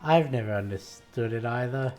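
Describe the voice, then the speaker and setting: A man's voice: medium pitch, normal speed, a little mellow. A young man with a light Australian accent casually commenting as he plays a game on stream, musing aloud to chat. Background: game music.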